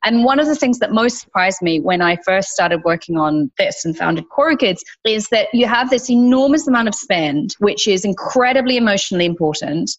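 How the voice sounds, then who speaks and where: A woman in her thirties from New Zealand with a New Zealand accent, a guest on an interview podcast, talking in an animated, emphatic way with lots of "like" and "right?". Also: medium pitch, a little fast, few pauses, muffled recording. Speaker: guest, childcare company founder.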